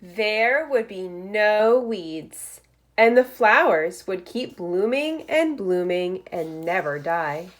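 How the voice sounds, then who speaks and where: Slow, very animated woman reading to children. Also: medium pitch. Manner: expressive, deliberate storytelling with short phrases and asides. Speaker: teacher reading aloud to first graders. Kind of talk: picture-book read-aloud for young children.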